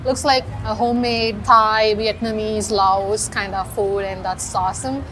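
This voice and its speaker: A woman's voice: medium pitch, steady tone, a little slow, fairly bright tone. Enthusiastic woman in a noisy recording. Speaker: event attendee. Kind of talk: street interview.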